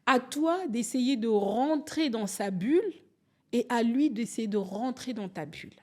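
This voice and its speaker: Animated woman in her forties from Angola. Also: a little high, measured pace. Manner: animated, storytelling, restarts and acts out quotes. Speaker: podcast guest. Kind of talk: candid conversational interview.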